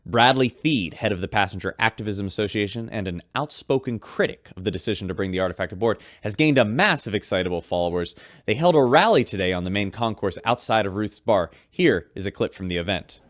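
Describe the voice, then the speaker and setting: A polished male radio host for a sci-fi audio adventure. His voice is fairly low and recorded close and dry, delivered like news presenting. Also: animated, fairly quick, a bit bright, dry recording. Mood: grave reporting.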